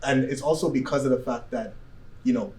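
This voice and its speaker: A man's voice: mid-range voice, fairly quick, darkish tone. A man in his twenties giving his opinion as a talk show guest, unscripted, hedging and often checking in with a tag question. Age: 20s. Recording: distant mic, faint background noise.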